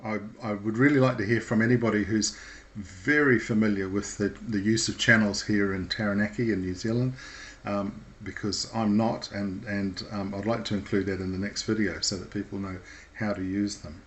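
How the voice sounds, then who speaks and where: A man with a somewhat low voice speaks as an instructor. He explains things informally and in a measured way, pausing hesitantly as he goes. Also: steady tone, fairly bright tone, slight background noise, low-quality recording.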